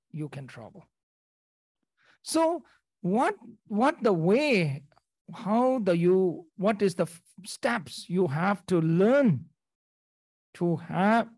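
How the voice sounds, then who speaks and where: Male dharma teacher from Nepal, unhurried, repeats himself, dry sound. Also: sits high, darkish tone, dry recording. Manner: halting, repeats phrases, checks in with "okay?". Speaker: Buddhist teacher. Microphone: video call.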